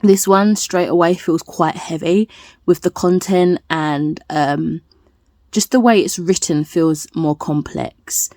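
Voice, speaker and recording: Girl vlogging about books, lowish voice, dry sound, chatty n rambly, bit silly and self-deprecating. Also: slow pace, mellow, no room sound. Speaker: book vlogger. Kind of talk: casual chatty vlog to viewers.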